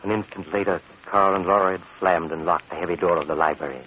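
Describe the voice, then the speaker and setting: Macabre doctor host, 1940s radio horror: rumbling low, ominous, sly American storyteller, muffled old broadcast. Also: male voice, steady tone, fast talker, sharp tone. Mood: ominous narration. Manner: ominous, theatrical. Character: sinister doctor storyteller, macabre and slyly genial. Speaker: host and narrator. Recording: dull, noisy 1940s radio recording.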